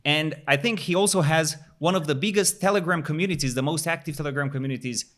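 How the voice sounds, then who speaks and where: A man's voice: on the high side, quick pace, a bit bright. Man, podcast host, casual, effusive, repeats himself. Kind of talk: casual interview hosting.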